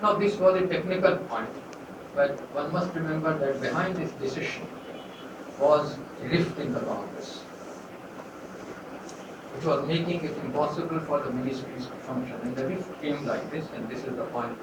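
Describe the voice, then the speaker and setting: An older man giving a university history lecture, measured and unhurried, pausing often with fillers. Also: on the high side. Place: lecture hall. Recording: echoey hall, far from mic, loud background noise.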